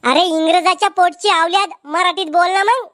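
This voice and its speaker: A man voicing a cheeky, quarrelsome village cartoon character with a Varhadi Marathi accent and a pitched-up voice. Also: fairly even intonation, fairly quick, fairly bright tone, no room sound, clean studio sound. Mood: scolding. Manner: brash, sarcastic, bickering.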